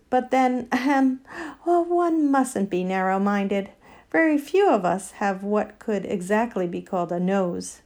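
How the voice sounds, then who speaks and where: Woman voicing a dignified she-elephant, raised pitch. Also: darkish tone, full character voice. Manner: hesitant, polite, deliberate phrasing. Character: dignified, ponderous she-elephant, thoughtful and self-satisfied. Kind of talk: storybook character voice.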